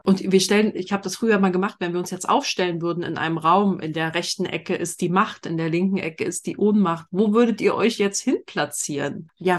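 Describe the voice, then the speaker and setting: A woman leading a group discussion in a slow, deliberate way, her phrases often trailing off softly. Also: on the low side. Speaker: workshop facilitator.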